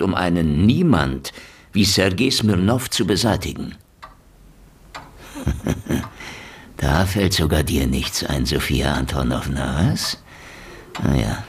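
An actor playing a cynical, wisecracking investigator in a German radio play, sardonic and needling in manner. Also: male voice, low-pitched, breathy edge, heavily acted. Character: cynical, wisecracking hard-boiled male investigator in Soviet Union.

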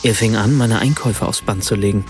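A man's voice: fairly low pitch, brisk, faint music behind, lightly acted. A man narrating as a wry German writer. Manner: ironic, reflective. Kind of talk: scripted radio drama narration.

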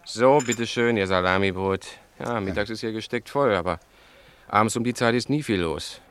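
A man's voice: fairly low pitch, moderate pace, fairly bright tone, smooth, natural voice. A man acting a chatty, wry London milk bar owner in a German radio play, affable and colloquial. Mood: businesslike. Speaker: actor.

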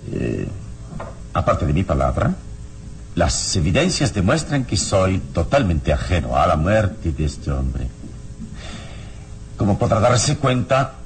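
An actor playing a serene, enigmatic and courteous male hero in a radio drama, speaking in a measured, formal, theatrical way on a noisy old recording. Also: extremely low pitch, full character voice.

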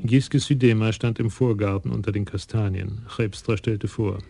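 A man narrating a German radio crime drama in an even, understated way. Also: lowish voice, moderate pace, gravelly, noisy background.

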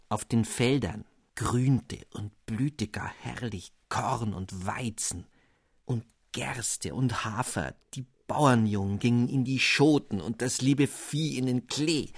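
A man's voice: mid-range voice, takes it slowly, no echo, clean studio sound. A man narrating a classic fairy tale for radio, telling it expressively and addressing the listener directly. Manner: expressive storytelling, addressing the reader. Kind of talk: scripted literary narration.

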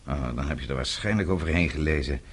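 An actor in a radio drama playing an authoritative middle-aged father-in-law, measured, paternal and formal, with a husky voice. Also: very deep voice. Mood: composed, advising. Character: authoritative, unruffled father-in-law advising a younger man.

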